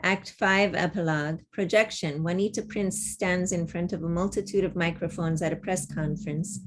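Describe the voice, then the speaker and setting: A woman's voice: on the low side, fairly even intonation, moderate pace, slight background noise, poor audio. A woman taking part in a virtual table read as narrator, speaking over a video call. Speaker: table-read narrator reading stage directions. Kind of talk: scripted stage-direction narration, then casual discussion.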